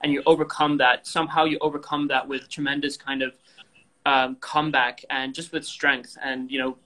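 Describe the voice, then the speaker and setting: A man's voice: medium pitch, little pitch movement, normal speed, pushed and bright, rough recording. A young man who grew up in Indonesia, speaking frankly over a video call as an interview guest, rambling with many fillers and restarts. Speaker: guest athlete.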